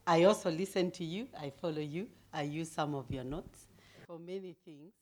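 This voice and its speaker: Middle-aged woman preacher from Kenya, deep voice, builds to loud emphatic reading. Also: moderate pace. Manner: grows loud and emphatic when reading scripture; humble, laughs easily. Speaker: guest preacher. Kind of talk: sermon.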